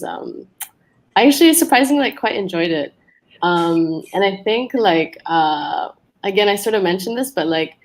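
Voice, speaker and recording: Female poet from Malaysia, lowish voice, halting reflective chat. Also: a little mellow, rough recording. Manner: hesitant, searching for words, laughs often. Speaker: poet guest. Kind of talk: casual panel chat.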